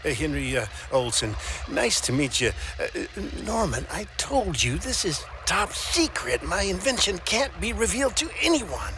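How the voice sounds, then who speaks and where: A man's voice: high-pitched, very animated, normal speed, noisy background. A man voicing a greedy, dreamy church custodian inventor in a children's puppet show, hammy and stammering. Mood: flustered, secretive.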